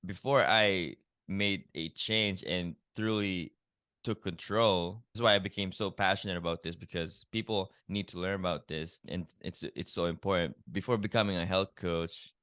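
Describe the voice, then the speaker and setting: Deep-voiced man, earnest and reflective. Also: steady tone, moderate pace, a bit bright, smooth, dry recording, clean studio sound. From Philippines. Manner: earnest, reflective, many "you know" fillers. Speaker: guest, keto health coach. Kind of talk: personal story in a podcast interview.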